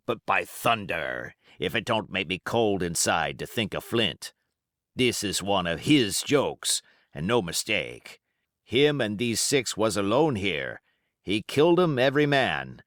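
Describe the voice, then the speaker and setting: Man playing cunning pirate cook, commanding, wide swooping pitch, salty dialect, emphatic oaths, storybook, dry sound. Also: big pitch swings, measured pace, fairly bright tone, dry recording, exaggerated voice. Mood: musing, uneasy. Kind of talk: audiobook character voice.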